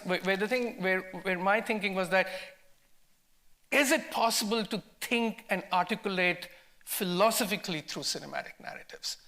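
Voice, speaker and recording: A man's voice: high-pitched, sharp tone. A man with an Indian accent speaking as a film director in an academic discussion, emphatic and repeating words as he works out his ideas. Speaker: film director, panel guest. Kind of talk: academic post-screening discussion.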